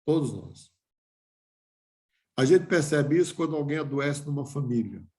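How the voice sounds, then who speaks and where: A man's voice: on the high side, animated, a little slow, darkish tone, roomy sound. An older man giving a reflective lecture to a class, asking himself questions and restarting phrases.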